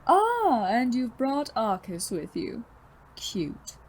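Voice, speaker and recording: A smug supervillain boss voiced by a woman in an audio drama, drawling slowly and mockingly. The highish voice swoops widely and has a breathy edge. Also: huge pitch swings, full character voice. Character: smug, taunting supervillain boss called Infrared.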